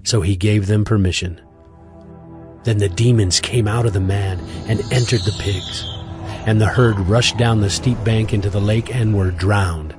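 Low-voiced male scripture narrator over music.

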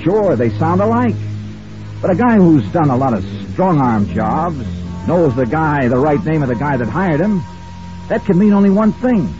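An actor playing a tough, impatient American insurance investigator in an old-time radio detective drama, brusque and insistent. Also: male voice, fairly high pitch, lively intonation, normal speed, lightly acted. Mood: pondering, resolute. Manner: hard-boiled, brusque, insistent. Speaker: lead actor and narrator. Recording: muffled old radio recording with music.

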